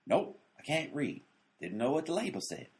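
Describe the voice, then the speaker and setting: A man's voice: a little high, very animated, normal speed, a little mellow. A man playing a dim-witted, unbothered sandwich maker in a radio comedy, speaking in a folksy, matter-of-fact way. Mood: obtuse, unbothered.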